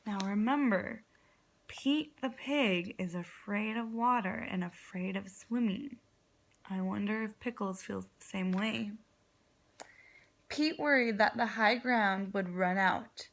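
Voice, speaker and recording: A woman's voice: mid-range voice, takes it slowly, rough recording. A woman kindergarten teacher reading a story aloud expressively, guiding young listeners with simple questions and dramatic phrasing.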